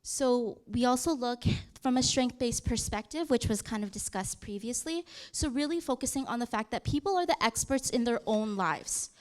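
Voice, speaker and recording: Woman giving a slide presentation, slightly higher pitch. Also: normal speed, hardly any pauses. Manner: conversational, with frequent fillers and self-corrections. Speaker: youth program presenter. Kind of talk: conference presentation walking through slides.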